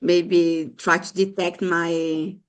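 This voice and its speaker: A woman's voice: fairly low pitch, little pitch movement, takes it slowly. Brazilian woman introducing herself on a video call. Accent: Brazilian Portuguese. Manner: hesitant, searching for words. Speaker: lecturer and former peacekeeper.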